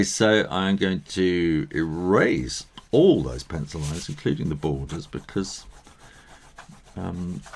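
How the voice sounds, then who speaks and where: A man with a tired, croaky voice, thinking aloud with soft chuckles as he teaches drawing and painting. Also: low-pitched, a little hiss or hum. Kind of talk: casual drawing tutorial narration.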